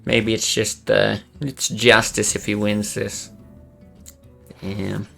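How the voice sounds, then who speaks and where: A man's voice: lowish voice, little pitch movement, slow, creaky, pushed and bright, soft background music. A young man streaming a card game, chatting casually and thinking aloud, swearing under his breath now and then. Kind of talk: casual gameplay commentary.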